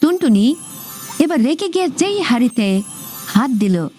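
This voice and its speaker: A woman narrating a scripted children's story in an expressive storybook manner. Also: high voice, unhurried, music in the background.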